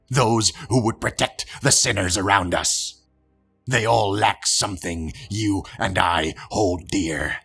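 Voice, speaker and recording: Gravelly zealot villain played by a man. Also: on the low side, bright, forceful tone, exaggerated voice. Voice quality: gravelly, raspy. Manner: pompous, sermonizing, deliberate. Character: zealous self-righteous religious fanatic villain preaching judgement. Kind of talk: comic book dub acting.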